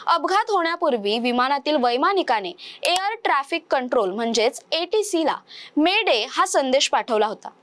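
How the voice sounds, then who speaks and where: A young woman with a high voice reads a scripted news explainer. She keeps a measured, formal newsreader delivery throughout. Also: a bit bright. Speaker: news presenter.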